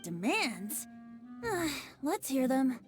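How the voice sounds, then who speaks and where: Youthful boy bard, high voice, swooping intonation, playful, mock-grand, game character. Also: sing-song, takes it slowly, music in the background, full character voice. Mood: wry, musing. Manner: florid, teasing, mock-grand. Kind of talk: video game voice acting.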